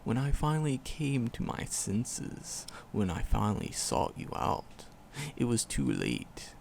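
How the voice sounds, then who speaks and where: A man playing an ancient, tender and sorrowful forest demon in an ASMR roleplay, with a gravelly voice and solemn, archaic storytelling. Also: medium pitch, wildly swooping pitch, takes it slowly, a little mellow, slight background noise, full character voice. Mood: sorrowful, regretful. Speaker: voice actor in audio roleplay.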